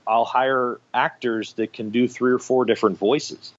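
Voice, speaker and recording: A man's voice: medium pitch, fairly even intonation, moderate pace. Slightly muffled male interview guest. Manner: conversational, explains step by step with examples. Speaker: radio theatre director, interview guest. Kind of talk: explanatory interview conversation with anecdotes.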